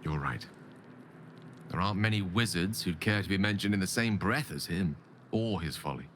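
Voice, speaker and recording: A man's voice: low voice, expressive, normal speed, fairly bright tone, slight background noise. A man playing an eloquent, ambitious and embittered wizard in a video game, speaking with earnest, theatrical and formal articulation. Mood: dejected, then subdued, reflective. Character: ambitious, eloquent, embittered male wizard pleading his case. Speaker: video game companion character. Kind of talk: dramatic game dialogue acting. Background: background music.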